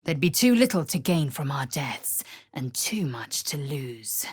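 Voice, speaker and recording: A woman voicing a fierce, proud young githyanki warrior in a video game, blunt and disdainful, with clipped, formal, archaic phrasing and a husky, breathy voice. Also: low-pitched, big pitch swings, a little slow, dry recording, studio quality, exaggerated voice. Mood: curt, disdainful. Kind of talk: video game character voice lines.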